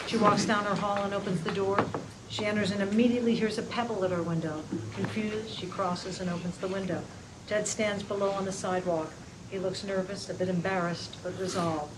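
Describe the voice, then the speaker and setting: A woman reading stage directions aloud at a script read in a steady, clear voice. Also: medium pitch, noisy background.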